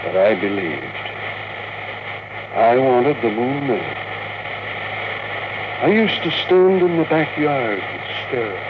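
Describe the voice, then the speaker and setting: An elderly, wealthy dreamer with a mid-pitched voice in a classic radio drama. He is wistful and set on his dream. The recording is muffled, with heavy noise over it. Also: very animated, takes it slowly, pauses often. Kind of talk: old-time radio drama acting.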